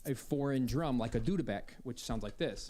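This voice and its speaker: A man's voice: mid-range voice, fairly quick. A man speaking as an audio drama composer, explaining his working process in a podcast interview. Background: music playing behind the voice.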